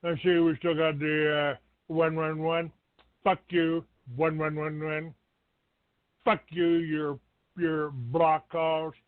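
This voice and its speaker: A man's voice: a little high, clean tone, no room sound. A man hosting a live call-in show in an abrasive, combative, foul-mouthed way. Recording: tinny phone-grade dry audio.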